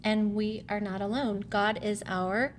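A woman's voice: mid-range voice, fairly even intonation, unhurried. A woman speaking as a mother and guest presenter, explaining things in simple family talk. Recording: reverberant room with background noise.

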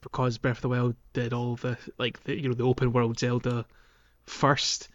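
A man co-hosting a casual gaming podcast, speaking in a halting way as he thinks aloud. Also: medium pitch, level tone. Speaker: podcast co-host. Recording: dull, band-limited voice recording.

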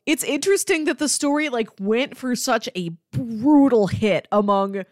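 A woman's voice: fairly high pitch, moderate pace, a little mellow, no echo. Woman chatting casually, close dry sound. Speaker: film podcast co-host. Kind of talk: casual film discussion.

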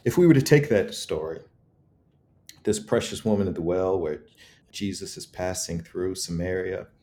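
A man's voice: low voice, normal speed, a little mellow, slight background noise. A man speaking as an interview guest, reflective and eloquent, with emphatic, preacherly storytelling and rhetorical repetition. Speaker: guest author.

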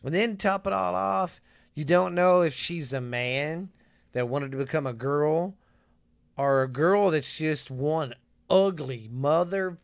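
Joking podcast host, dry mic. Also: male voice, fairly high pitch, slow, vocal fry, dry recording. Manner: loose, crude, joking. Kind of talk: casual podcast chat.

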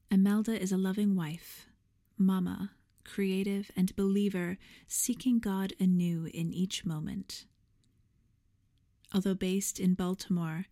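Woman host reading out a guest intro, mellow soft-edged tone, scripted. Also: mid-range voice.

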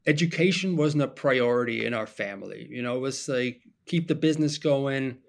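A man's voice: mid-range voice, moderate pace, gravelly. Man telling his story. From Germany. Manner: relaxed storyteller, restarts and self-corrects. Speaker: guest. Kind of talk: casual podcast interview.

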